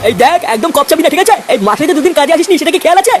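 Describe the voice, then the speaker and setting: A man voicing a stern, contemptuous factory overseer in a comic cartoon, threatening and sneering in a pitched-up voice. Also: animated, very fast, music in the background.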